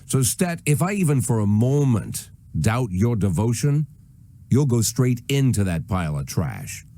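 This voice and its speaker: An actor playing an aging, hard-bitten police chief in a video game, commanding, sardonic and threatening. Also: male voice, mid-range voice, sing-song, unhurried, mellow. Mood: cold, menacing. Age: older. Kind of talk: dramatic video game voice acting.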